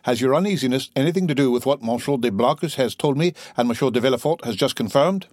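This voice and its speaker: A man's voice: mid-range voice, animated, fast talker, no echo, clean studio sound, close to an everyday voice. A man voicing an indignant, imperious aging king in a storybook reading. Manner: commanding, sharp, sarcastic.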